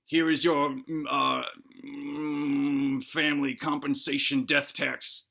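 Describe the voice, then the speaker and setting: A man acts out a giant war machine for a comedy tale. It speaks like a cold official reading out procedure. The words come flat and halting, pitched down from his storytelling voice. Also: creaky, full character voice. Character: giant bureaucratic North Korean war robot, coldly official.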